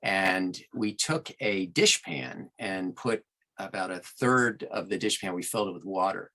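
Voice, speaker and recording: A man's voice: sits low, a little slow, sharp tone. A middle-aged man from Long Island, an audiobook producer telling stories conversationally as a podcast guest. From Long Island, New York, United States. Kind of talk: conversational storytelling in an interview.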